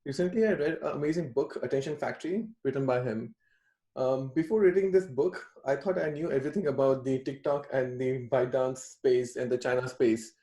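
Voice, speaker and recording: A man's voice: mid-range voice, brisk, dark tone. A man co-hosting a podcast who talks in an eager, enthusiastic way. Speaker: podcast co-host. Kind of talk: casual podcast chat. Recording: echoey room.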